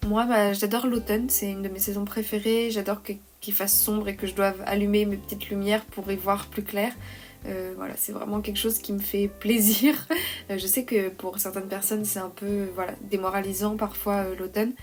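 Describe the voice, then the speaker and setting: Soft-spoken woman with a slight cold. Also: medium pitch, little pitch movement, moderate pace, faint music behind. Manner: soft, hesitant, many "euh" fillers. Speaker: vlogger, freelance illustrator. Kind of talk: calm cosy voice-over vlog.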